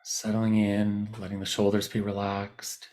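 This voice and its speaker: A man guiding a body scan meditation in a soothing, unhurried manner, leaving long pauses between short phrases. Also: lowish voice, completely flat delivery, darkish tone. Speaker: meditation guide. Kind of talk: guided body scan meditation, unscripted instruction.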